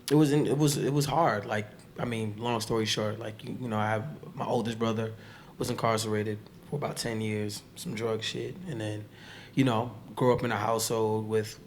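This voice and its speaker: A man from the Bronx, hoarse from losing his voice, telling stories in a casual, slangy conversation as a podcast guest. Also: lowish voice, a little hiss or hum. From the Bronx, New York. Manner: relaxed, slangy storytelling with many fillers.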